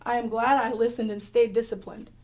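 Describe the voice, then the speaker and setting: A woman playing an adventurous, clever girl heroine who tells her own story in a radio drama, earnest and expressive. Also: fairly high pitch, a little fast, close to an everyday voice. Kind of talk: first-person radio drama narration.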